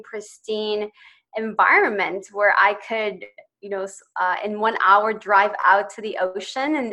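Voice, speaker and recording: A woman's voice: medium pitch, pushed and bright. A woman in her twenties from Ecuador, a podcast guest telling her own story in an earnest, eager way with light laughs between phrases. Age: 20s. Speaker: podcast guest, social entrepreneur. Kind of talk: personal storytelling in interview.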